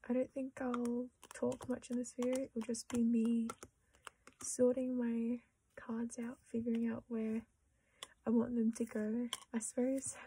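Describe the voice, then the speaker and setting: Young aussie woman murmuring to herself, slow and mellow, faint hiss behind. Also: fairly high pitch, monotone, poor audio. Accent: Australian. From Australia. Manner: soft-spoken, often half-whispering, self-deprecating. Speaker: collecting vlogger. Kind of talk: casual chat while sorting cards.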